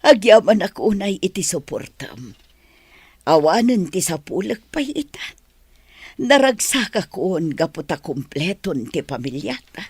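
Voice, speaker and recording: Woman acting devoted filipino mom in an audio drama, husky breathy voice, tender, dry sound. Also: fairly low pitch, very animated, unhurried, a little mellow, no room sound, full character voice. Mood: grateful. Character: devoted middle-aged mother, tender, grateful, returning schoolteacher.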